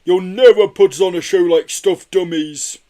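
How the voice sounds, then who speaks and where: A man's voice: sits high, normal speed, warm tone, pitched above the performer's own voice, natural voice. A man voicing a friendly but defiant yeti from a children's story, hearty and emphatic.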